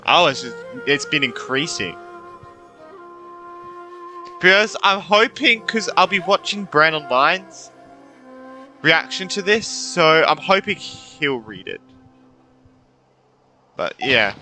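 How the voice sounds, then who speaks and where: A man's voice: on the high side, big pitch swings, takes it slowly, pauses often, pushed and bright, faint music behind. A man giving casual commentary on a game playthrough, rambling in muttered asides. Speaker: gaming video creator.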